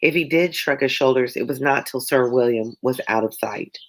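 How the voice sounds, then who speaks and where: A woman's voice: very deep voice, moderate pace. A woman with a Louisiana Southern accent and a congested, wheezy chest, reading a novel aloud and chatting with viewers as an online tutor. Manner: chatty, stops often to explain words and answer chat. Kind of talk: casual live-stream read-along with chat.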